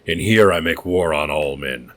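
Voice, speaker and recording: A crazed innkeeper, half beast, who rants and roars with mirthless laughter in a very deep voice, put on by a man reading the tale. The villain of a dark adventure story, menacing and unhinged. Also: moderate pace, slight background noise. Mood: ranting. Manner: booming wild laughter and roars. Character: mad, roaring escaped-prisoner innkeeper man.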